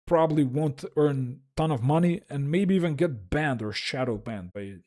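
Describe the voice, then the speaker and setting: Man, russian accent, mellow dark tone, frank and wry, solo explainer. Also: on the high side, moderate pace. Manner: frank, wry, self-deprecating. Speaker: YouTube creator.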